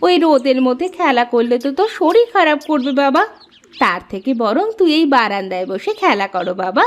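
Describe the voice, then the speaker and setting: Woman voicing a high-pitched, expressive village wife. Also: moderate pace. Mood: fond concern. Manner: pleading, expressive storybook delivery. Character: worried village wife and fond mother, adult woman.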